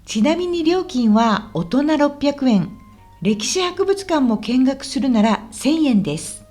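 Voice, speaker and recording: A woman playing a friendly, helpful local guide in a scripted language-lesson radio drama, explaining politely and clearly. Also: high-pitched, very animated, slow, dark tone, soft background music, full character voice. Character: friendly, helpful woman guiding a visitor around Osaka.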